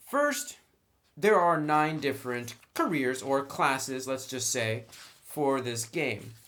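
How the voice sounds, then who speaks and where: A man's voice: fairly high pitch, fairly bright tone. A man narrating a tabletop game review in an informal, semi-scripted way, with wry asides.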